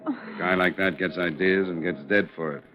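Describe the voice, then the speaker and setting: A man's voice: sits low, steady tone, normal speed, bright, forceful tone. An actor playing a hard-boiled male insurance investigator who narrates his case, in a dry, matter-of-fact, wry manner. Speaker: narrator and lead character. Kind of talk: 1950s radio drama acting. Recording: dull lo-fi old radio broadcast with faint music.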